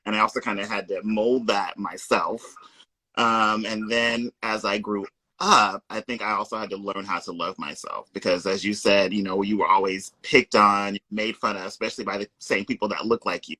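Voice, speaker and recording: A man's voice: lowish voice, fairly even intonation, moderate pace, sharp tone, no room sound, low-quality recording. A man from Kansas, a yoga teacher, speaking as a guest in an easygoing conversation. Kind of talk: casual conversation.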